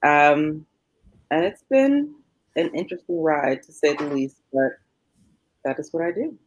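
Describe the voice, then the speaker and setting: A woman in her thirties with a distinctly low voice. She talks casually about herself and her work as a respiratory therapist, as a guest on a panel. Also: big pitch swings, unhurried, no echo, low-quality recording. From born and raised in New York. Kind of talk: casual self-introduction on a panel.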